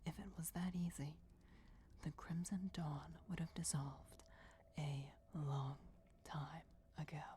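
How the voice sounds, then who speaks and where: A woman voicing an ancient, ruthless vampire goddess in a breathy, solemn whisper, in a fantasy ASMR roleplay. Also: low-pitched, steady tone, mellow, slight background noise. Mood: cold, solemn. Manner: slow, deliberate, measured with dramatic pauses. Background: crypt ambience.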